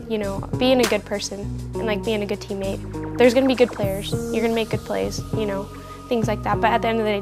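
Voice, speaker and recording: Young woman, casual and halting, over music. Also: mid-range voice. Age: young adult. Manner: halting, heavy use of "like". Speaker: college softball player. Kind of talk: casual profile interview.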